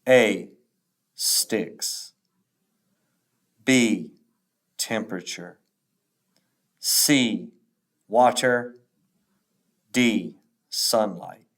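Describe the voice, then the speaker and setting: A man speaking as a teacher, reading test questions aloud in a deliberate, instructional way with pauses between the choices. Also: on the low side, fairly bright tone.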